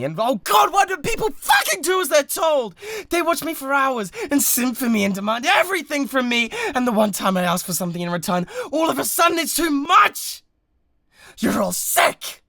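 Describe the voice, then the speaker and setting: A man's voice: medium pitch, bright, forceful tone. A British man playing a bratty, obsessive yandere livestreamer in a dramatic audio roleplay, talking to viewers in a theatrical, stammering, defensive way. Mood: furious, ranting.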